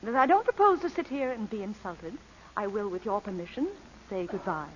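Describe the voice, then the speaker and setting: An actress as a coolly unrepentant society lady thief with a lively, swooping voice. She is genteel and teasing in old radio drama style, on a dull, lo-fi recording. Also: medium pitch, a little fast, slight background noise, lightly acted. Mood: poised, firm. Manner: genteel, teasing, mock-innocent. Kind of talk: 1940s radio drama acting.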